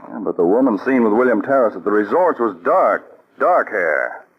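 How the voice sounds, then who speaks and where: An actor playing a calm, methodical male counterspy chief who gives crisp orders, in 1940s radio acting. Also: on the low side, normal speed. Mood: steady, commanding. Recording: muffled old radio recording.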